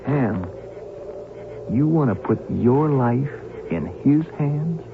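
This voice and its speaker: Gravelly, animated airline captain. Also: male voice, medium pitch, takes it slowly. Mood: pressing, skeptical. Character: wary, hard-headed airline captain held by hijackers. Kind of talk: old-time radio drama acting. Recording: tinny band-limited old radio recording, clear noise.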